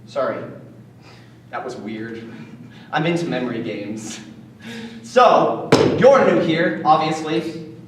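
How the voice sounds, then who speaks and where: A man giving an animated solo reading of his play as a child narrator. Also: on the high side, takes it slowly, pauses often, noisy background. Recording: distant echoey room.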